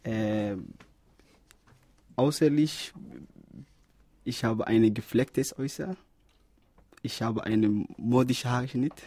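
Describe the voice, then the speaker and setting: A nineteen-year-old man from Somalia speaks as a studio guest, choosing his words carefully and deliberately. His voice is dark and a little husky. The recording is dry and close. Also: medium pitch, no echo. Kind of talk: careful self-introduction in learned German. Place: radio studio.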